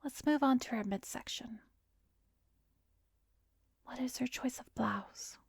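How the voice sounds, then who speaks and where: A woman playing a calm, coaxing female therapist in a whispered ASMR hypnosis roleplay, soothing and measured, with a soft breathy voice close to the microphone. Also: high voice, exaggerated voice. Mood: softly probing. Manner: slow, soothing, measured guiding with pauses. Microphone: close microphone, dry recording.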